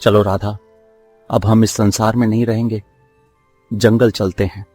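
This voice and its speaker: A man's voice: lowish voice, level tone, unhurried, darkish tone, close to an everyday voice. A man playing a humble, downtrodden and devoted village son in a cartoon story, earnest and pleading. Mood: resigned. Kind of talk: Hindi cartoon story acting. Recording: music behind the voice. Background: music.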